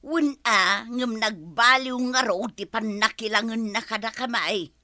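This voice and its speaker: An actress playing an excitable, gossipy village woman in an Ilocano radio comedy drama. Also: on the high side, a little slow, dry recording, clean studio sound.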